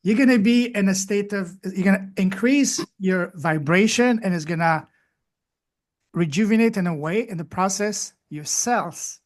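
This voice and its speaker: Upbeat, fairly high-voiced male host. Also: unhurried. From France. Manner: enthusiastic, affirming. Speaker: podcast host. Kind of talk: interview hosting.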